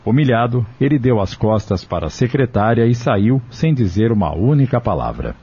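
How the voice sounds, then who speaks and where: A man with a husky, mellow voice narrating a scripted audio drama. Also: mid-range voice, sing-song, normal speed. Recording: dull, lo-fi recording.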